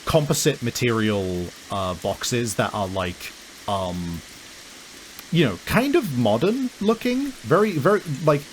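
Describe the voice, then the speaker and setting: A man improvising scene descriptions as a game master, halting as he searches for words. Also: medium pitch, sing-song, a little hiss or hum, poor audio. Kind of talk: improvised tabletop game narration.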